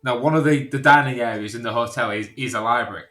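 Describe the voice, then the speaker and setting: Bright-voiced man telling casual anecdotes. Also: medium pitch, quick pace. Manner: sweary, informal. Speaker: podcast guest.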